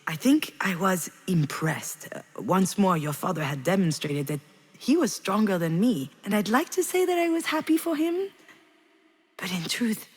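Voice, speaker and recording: A woman's voice: mid-range voice, lively intonation, moderate pace, breathy edge. An actress playing a melancholy, regretful woman in a video game, confiding hesitantly in an introspective monologue. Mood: confessional. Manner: hesitant, confessional, reflective. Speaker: video game protagonist narrating her memories.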